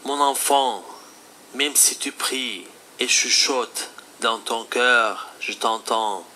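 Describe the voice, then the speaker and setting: A man's voice: medium pitch, pushed and bright, slight background noise. A man playing a stern, fatherly Jesus, teaching solemnly in a scripted religious phone-call sketch. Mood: stern admonishing. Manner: solemn, admonishing, deliberate.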